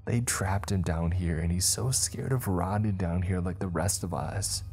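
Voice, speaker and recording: A man's voice: low-pitched, normal speed, a little mellow, slightly rough, soft background music. A man voicing a terrified, tormented man who pleads and chokes through sobs in an audio horror story. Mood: terrified, anguished. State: crying. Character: terrified, sobbing man tormented by an entity in his head.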